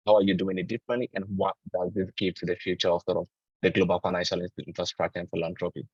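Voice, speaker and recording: A man with a husky voice moderating a panel discussion in measured, hesitant phrases. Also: low voice, flat delivery, a little mellow. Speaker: webinar moderator. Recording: muffled, roomy online call audio.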